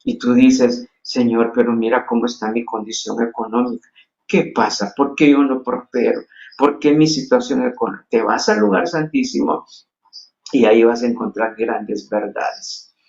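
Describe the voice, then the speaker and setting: A man's voice: mid-range voice, moderate pace, gravelly, darkish tone, muffled recording. A man from Honduras with a Honduran Spanish accent, preaching a sermon earnestly with frequent restarts and fillers. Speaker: pastor.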